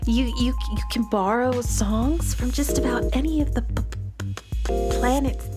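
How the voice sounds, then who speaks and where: A woman's voice: on the high side, over loud music, close to an everyday voice. Woman playing shy, stuttering little alien girl. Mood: shy, curious. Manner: halting, heavy nervous stutter. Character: shy, sweet young sludge alien schoolgirl. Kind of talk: children's audio story acting.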